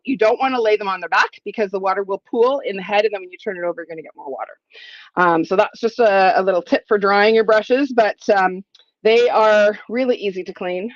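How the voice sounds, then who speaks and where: A woman's voice: medium pitch, no echo, muffled recording. Chatty sniffly woman crafting tutorial. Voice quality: a little sniffly. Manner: chatty, thinking aloud while working. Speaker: crafting demonstrator. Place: home craft room.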